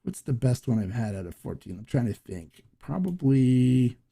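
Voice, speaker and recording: A man hosting a casual live Q&A, reading viewer comments aloud and answering in a rambling, halting way. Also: medium pitch, very animated, creaky, dark tone. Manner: rambling, halting, many restarts and fillers. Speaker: host of a live Q&A stream.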